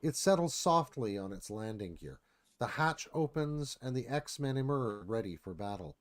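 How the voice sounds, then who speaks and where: A man narrates a live script reading in a mid-range voice. His pitch moves in a lively way as he sets out dramatic stage directions. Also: moderate pace.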